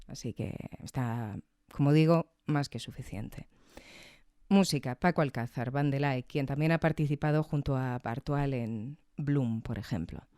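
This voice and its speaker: A woman's voice: a bass voice, unhurried, warm tone, no room sound, clean studio sound. A woman hosting a podcast, commenting conversationally and reflectively, often adding little tag questions. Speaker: podcast host and reviewer.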